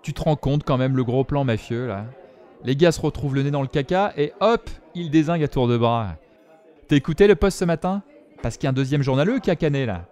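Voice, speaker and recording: A man playing an excitable bar regular who chatters in slang, in a French audio drama. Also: mid-range voice, brisk, faint music behind, close to an everyday voice. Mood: excited, gossipy.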